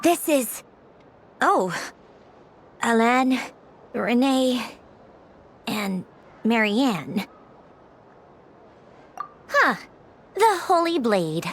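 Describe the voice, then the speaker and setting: A woman's voice: fairly high pitch, big pitch swings, extremely slow, pauses often, slight background noise, exaggerated voice. A woman voicing an aloof, prickly young woman in a video game, curt and haughty, hiding her feelings. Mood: wistful, disdainful. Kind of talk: video game character acting.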